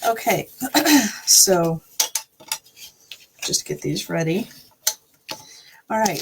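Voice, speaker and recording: A woman hosting a craft tutorial, recovering from a cold, friendly and chatty with coughs and throat-clearing. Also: fairly low pitch, background noise. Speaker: card-making channel host.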